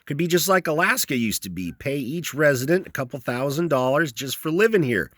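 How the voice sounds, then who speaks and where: A man voicing a loud, hot-tempered, hungry male ranch worker who shouts and threatens crudely. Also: a little high, expressive, brisk, no room sound, clean studio sound, pitched above the performer's own voice. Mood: boastful.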